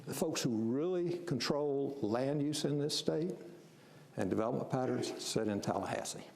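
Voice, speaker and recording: A man's voice: mid-range voice, normal speed, creaky, darkish tone. A man running a public planning meeting, explaining things firmly and repeating words as he goes. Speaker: strategic planning facilitator. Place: city meeting room. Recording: echoey meeting room, faint noise.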